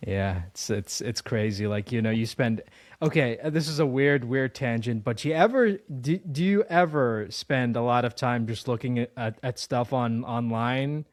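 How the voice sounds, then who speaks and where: A man's voice: medium pitch, moderate pace, no room sound, clean studio sound. A man hosting a casual interview, talking in a rambling, jokey way and often repeating his words. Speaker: interview host.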